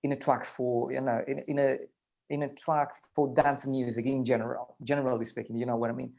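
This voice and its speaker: A man who produces music, talking in a casual panel chat in an earnest, self-deprecating, halting way. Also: medium pitch, flat delivery, vocal fry, darkish tone.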